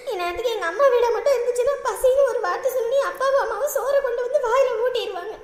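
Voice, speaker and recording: A man's voice: very high-pitched, fast, reverberant, in a large hall, pitched above the performer's own voice. A man voicing a hungry young newlywed wife who whines and half-laughs as she complains. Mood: whiny.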